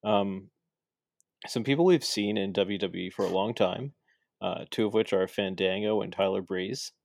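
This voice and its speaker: A man's voice: on the low side, normal speed, gravelly, a little mellow, dry recording, studio quality. A man hosting a podcast in a casual, rambling way with many fillers and restarts. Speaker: podcast host. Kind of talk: casual chat.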